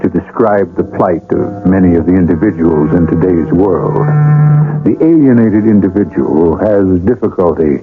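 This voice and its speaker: Deep-voiced male radio drama host. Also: moderate pace, music in the background. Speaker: host and narrator. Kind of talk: scripted 1970s radio drama narration. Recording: muffled old radio broadcast.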